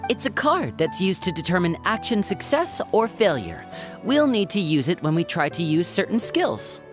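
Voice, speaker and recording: Smooth clever young guy magician, lowish and lilting, teasing, game dialogue. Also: big pitch swings, normal speed. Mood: confident, helpful. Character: suave, clever, easygoing young male stage magician. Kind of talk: game voice acting. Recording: music behind the voice.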